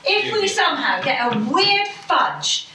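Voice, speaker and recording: A woman's voice: extremely high pitch, big pitch swings, unhurried, sharp tone, slight background noise. A woman speaking on a panel, emphatic and rambling, swearing for emphasis. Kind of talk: impassioned public panel speech. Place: conference hall. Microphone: distant mic in a room. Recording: echoey hall from far off.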